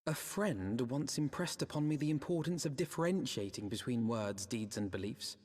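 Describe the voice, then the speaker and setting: An actor playing a shrewd, skeptical young scholar in a game cutscene, precise and formal. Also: male voice, medium pitch, expressive, normal speed, a little mellow, close to an everyday voice. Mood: dry, skeptical. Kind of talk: dramatic video game cutscene acting. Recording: long hall reverb.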